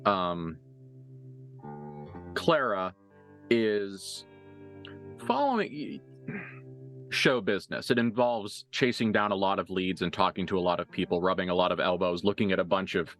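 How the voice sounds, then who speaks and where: A low-voiced man serves as game master for a tabletop horror game. He speaks in deliberate, halting, wordy phrases, with music clearly playing behind him. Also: lively intonation, fairly bright tone. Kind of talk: improvised narration of a tabletop horror roleplaying game.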